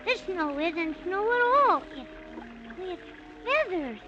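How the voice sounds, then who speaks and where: A woman's voice: very high-pitched, animated, takes it slowly, over music, full character voice. A woman playing an earnest, polite, wide-eyed little boy in an old-time radio children's serial. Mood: polite, anxious. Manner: polite, breathless wonder. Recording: muffled, noisy old radio broadcast recording.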